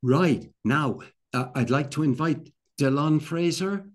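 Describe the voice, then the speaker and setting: An older man hosting an online club meeting on a video call, speaking haltingly and repeating phrases. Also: fairly high pitch, expressive. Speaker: meeting host.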